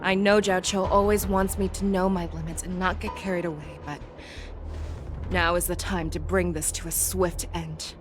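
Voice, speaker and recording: A woman's voice: fairly low pitch, steady tone, normal speed, over music, lightly acted. A woman playing a bold, authoritative general in an anime-style video game. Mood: determined. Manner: authoritative, resolute. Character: bold commanding woman general rallying her knights.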